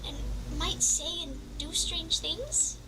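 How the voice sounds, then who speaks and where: A woman playing a kind, anxious, deferential doctor in an animated horror story, speaking politely with a timid stammer. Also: very high-pitched, slow, full character voice. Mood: kind, nervous. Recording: very noisy background.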